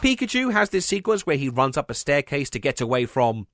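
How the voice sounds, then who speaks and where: A man's voice: fairly high pitch, sing-song, a little fast, noisy background. A man hosting a video essay, reading scripted commentary with wry, sarcastic asides.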